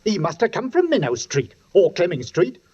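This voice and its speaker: High, animated police constable. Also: male voice, normal speed, husky, full character voice. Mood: alarmed, dutiful. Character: earnest, deferential London police constable. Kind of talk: 1940s radio acting. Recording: muffled 1940s radio recording.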